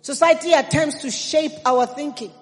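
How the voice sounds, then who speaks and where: A woman's voice: sits high, moderate pace. A Nigerian woman in her fifties preaching a sermon, emphatic and humorous, with a Nigerian accent. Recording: long reverb in a big hall.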